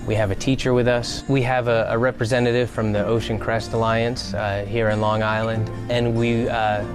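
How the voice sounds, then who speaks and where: A man's voice: mid-range voice, level tone, moderate pace. A man speaking as a conservation scientist in a documentary interview, explaining field work. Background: music.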